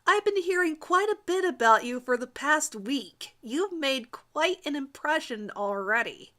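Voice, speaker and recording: A woman's voice: high-pitched, lively intonation, a little slow, sharp tone, pitched above the performer's own voice, full character voice. A woman voicing a catty teenage mean girl who needles and challenges with venom in a story reading. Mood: challenging, venomous.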